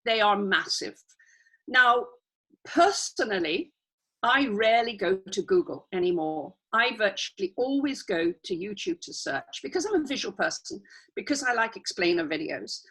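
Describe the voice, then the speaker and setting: A woman guest trainer with a croaky voice, explaining in a chatty way with asides and restarts over a video call. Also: mid-range voice, big pitch swings, moderate pace, sharp tone, low-quality recording. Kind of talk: casual live training.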